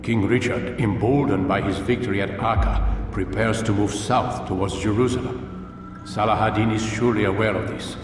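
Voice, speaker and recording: Man acting old male mentor, video game drama, stern, measured, formal, distant in an echoey hall, music behind. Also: fairly low pitch, fairly even intonation, lightly acted. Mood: grave, commanding. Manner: solemn, deliberate, formal speech.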